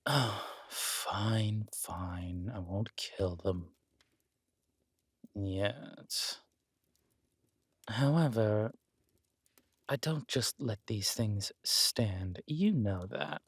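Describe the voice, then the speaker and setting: A man's voice: on the low side, drawn-out words, pauses often, slightly rough, no room sound, exaggerated voice. A man playing a scheming, flirtatious villain king in an intimate roleplay audio drama, with a languid, teasing, menacing delivery. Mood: reluctant, brooding.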